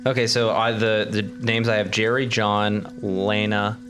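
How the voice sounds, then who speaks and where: Male player, lower register, conversational tabletop roleplay with a score and rain underneath. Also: little pitch movement, a little slow. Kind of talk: casual tabletop game chat. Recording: music and rain sound effects behind. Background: music, rain.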